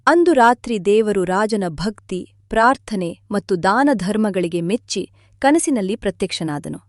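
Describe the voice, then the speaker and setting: A woman giving scripted storybook narration for a cartoon moral tale, in an even storytelling manner. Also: mid-range voice, a little slow, no room sound, studio quality.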